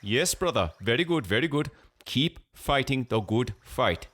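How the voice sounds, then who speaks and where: A man's voice: medium pitch, expressive, a little slow, fairly bright tone, pitched above the performer's own voice. A man voicing a prankster who fakes a thick, cartoonish Pakistani accent, agreeing repetitively and with mock earnestness in an audiobook story. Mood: cheery mockery. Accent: exaggerated Pakistani.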